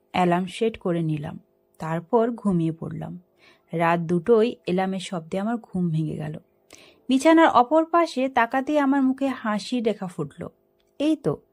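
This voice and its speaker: A woman reading a scripted story aloud as its narrator, in a dramatic storytelling style. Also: medium pitch.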